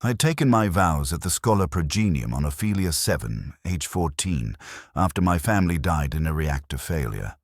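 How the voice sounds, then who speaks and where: A man narrating a scripted dramatic story in a solemn, measured way, with short clipped statements. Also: very deep voice, sing-song, no echo, clean studio sound.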